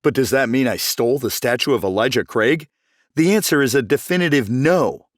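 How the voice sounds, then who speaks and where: A man playing a self-important, defensive accused businessman in an animated comedy, speaking emphatically. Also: mid-range voice, brisk, no echo, studio quality, close to an everyday voice.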